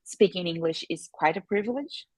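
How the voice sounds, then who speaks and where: A woman's voice: fairly low pitch, normal speed, slightly rough, no room sound. A woman from Brazil whose first language is Portuguese, speaking with a Brazilian Portuguese accent as an earnest podcast guest who sometimes searches for words. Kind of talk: casual interview chat.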